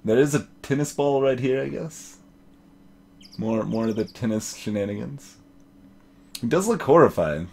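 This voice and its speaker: A man doing casual let's play commentary in a mid-pitched voice. He thinks out loud as he goes, repeating phrases and reading bits of dialogue. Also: expressive, moderate pace, creaky, pauses often. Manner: musing aloud, repeating phrases.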